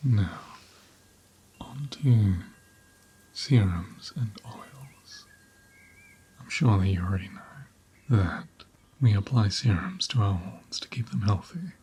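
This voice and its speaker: A man playing a gentle, patient ram caretaker in an ASMR roleplay, speaking softly and breathily, often in a whisper, close to the mic. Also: on the low side, a little hiss or hum. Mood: soothing, explanatory. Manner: unhurried, gently reassuring, attentive. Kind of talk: ASMR roleplay, soft personal attention. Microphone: close ASMR microphone.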